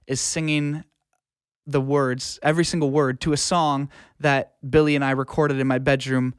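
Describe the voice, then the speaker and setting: A man's voice: mid-range voice, flat delivery, moderate pace, clean tone, no room sound, studio quality. A man talking casually as an interview guest, earnest and colloquial, like an audio engineer sharing experience.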